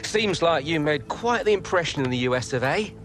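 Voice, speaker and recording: An actor playing a persuasive football agent in a video game cutscene, pushing a big transfer. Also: male voice, on the high side, expressive, fairly quick, a bit bright. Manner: persuasive, salesy, building hype. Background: music.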